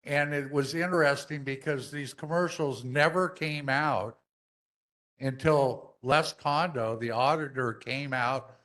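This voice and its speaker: A man's voice: medium pitch, unhurried, a bit bright. A man making a public comment at a neighborhood meeting, asking pointed, skeptical questions.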